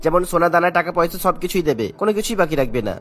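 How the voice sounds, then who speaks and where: A man's voice: fairly high pitch, animated, rapid-fire, fairly bright tone, soft background music. A man voicing an earnest young son in a cartoon family melodrama, pleading and insistent. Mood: earnest, firm. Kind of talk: Bengali cartoon melodrama voice acting.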